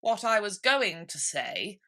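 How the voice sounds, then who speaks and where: A woman's voice: medium pitch, sing-song, takes it slowly, sharp tone, full character voice. A woman voicing a solemn, long-winded storybook dodo who speaks formally and is easily offended.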